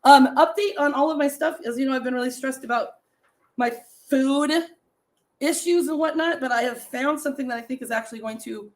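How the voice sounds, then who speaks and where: Middle-aged woman, raspy voice, a touch high; casual live-stream host reading trivia to viewers. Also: a little fast. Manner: chatty, laughs often, reads facts and stories to viewers.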